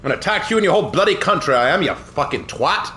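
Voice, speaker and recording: A male streamer puts on a snobbish old-school British gentleman who sneers at everyone and swears with relish, in a bright, high voice that swoops all over. The fake posh accent is broad and hammy, full of mock superiority and playful menace. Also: sing-song, quick pace, slight background noise. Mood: jolly pompous. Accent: put-on posh British. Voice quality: hammy, swearing, self-mocking. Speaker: game streamer. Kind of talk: live gameplay commentary in character.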